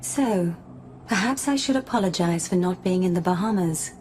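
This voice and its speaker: An actress playing a calm, polite mind-reading female robot in a radio drama, speaking in a measured, formal, precise way. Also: fairly low pitch, background noise, close to an everyday voice. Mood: analytical. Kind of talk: scripted radio drama acting. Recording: music playing underneath.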